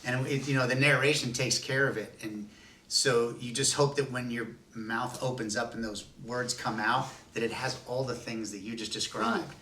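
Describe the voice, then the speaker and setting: A man's voice: medium pitch, fairly even intonation, normal speed, bright, forceful tone. A male voice actor earnestly reflecting on his craft in a casual roundtable chat. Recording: echoey room, distant mic, faint noise.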